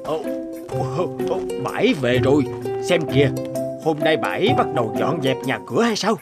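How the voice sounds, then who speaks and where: A man's voice: medium pitch, very animated, moderate pace, lightly acted. A man voicing a lazy older village husband who grumbles to himself, in a dubbed cartoon with music behind. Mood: mild. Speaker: voice actor for several male cartoon roles. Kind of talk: cartoon dubbing. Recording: cartoon soundtrack with loud music.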